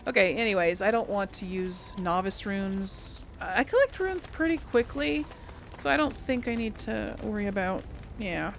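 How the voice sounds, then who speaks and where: A woman's voice: mid-range voice, a little slow, creaky, a little hiss or hum, rough recording. A woman giving casual let's play commentary, chatting and thinking aloud as she goes. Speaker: gaming channel host.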